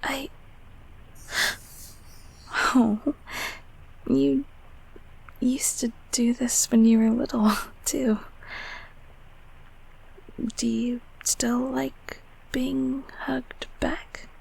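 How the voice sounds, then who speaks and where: A woman voicing a gentle, shy childhood closet creature in an intimate comfort roleplay, speaking softly and hesitantly in a breathy half-whisper. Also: high voice, level tone, exaggerated voice, poor audio. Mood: soothing, concerned. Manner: hesitant, halting, tender.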